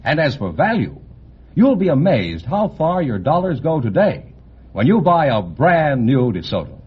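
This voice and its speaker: Male vintage radio pitchman, American, very animated delivery, slightly rough voice, dull old broadcast sound. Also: measured pace. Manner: polished, salesmanlike. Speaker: commercial announcer. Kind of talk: 1950s radio advertisement read. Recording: muffled old radio recording.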